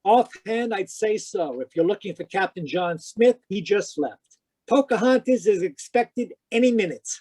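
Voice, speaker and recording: A man's voice: high voice, animated, normal speed, a little mellow, slightly rough, no room sound. A man playing a sardonic, wisecracking private detective, narrating an old-time radio mystery in a wry scripted style.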